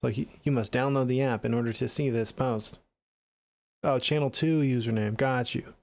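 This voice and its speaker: Middle-aged American man with gravelly fry. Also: mid-range voice, fairly even intonation, moderate pace, darkish tone, no room sound. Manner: laid-back, slangy, swearing. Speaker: music production livestreamer. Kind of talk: casual livestream chat. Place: small home studio room, indoors.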